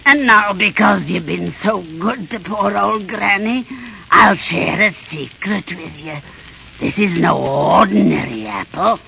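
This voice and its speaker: A woman playing a wicked old witch posing as a wheedling granny, with a croaky, cackling voice, in a 1930s radio fairy tale. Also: medium pitch, animated, sharp tone, heavily acted. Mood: coaxing. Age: older. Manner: wheedling, sly, gloating, drawn-out. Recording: scratchy, muffled 1930s radio broadcast.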